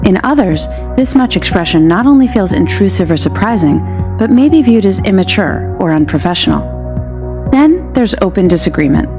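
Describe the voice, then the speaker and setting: A woman reading a scripted explainer narration in an even, explanatory tone, played from a video clip. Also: mid-range voice. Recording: clip played in a hall with music behind. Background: music.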